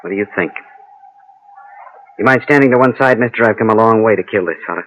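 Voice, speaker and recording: A man's voice: fairly low pitch, fast, a bit bright, close to an everyday voice. An actor playing a vengeful, taunting gunman with folksy frontier speech in an old-time radio Western. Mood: taunting menace. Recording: dull vintage radio recording.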